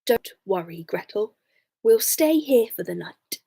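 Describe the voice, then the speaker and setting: A clever little storybook boy, played by a woman, reassuring and confiding. The delivery is slow and very lively in pitch. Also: gravelly, breathy edge, full character voice. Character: young boy Hansel, reassuring, clever, secretive.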